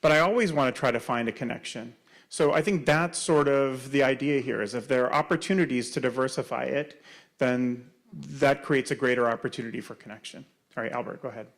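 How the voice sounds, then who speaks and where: A man's voice: mid-range voice, fairly quick, creaky. Male presenter, community meeting Q&A, explanatory, restarts often. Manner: explanatory, many fillers and restarts. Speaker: presenter answering audience questions. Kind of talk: community panel Q&A.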